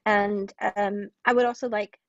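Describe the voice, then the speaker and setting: A woman from Hong Kong speaking as an interview guest, thoughtfully, feeling her way through halting, repeated phrases. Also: mid-range voice, little pitch movement, lo-fi sound. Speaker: guest, playwright and historian. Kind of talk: thoughtful podcast interview conversation.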